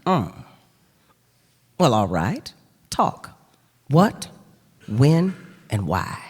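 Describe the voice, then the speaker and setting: A woman's voice: a bass voice, big pitch swings, extremely slow, darkish tone, breathy edge, heavily acted. A woman playing a big, bullying female saloon boss in a radio play, brash and taunting. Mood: gruff, suspicious. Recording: echoey stage hall, faint noise.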